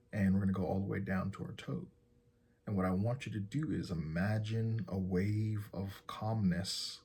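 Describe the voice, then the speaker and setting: A man guides a meditation in a deep voice. His delivery is very slow, with gentle instructions and long pauses. The tone is soft and extremely breathy, with a husky edge throughout.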